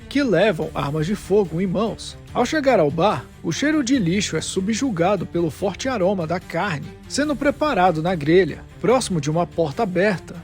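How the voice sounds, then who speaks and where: A man's voice: high voice, a little mellow. A man narrating scripted dramatic fiction as the narrator of an audio drama.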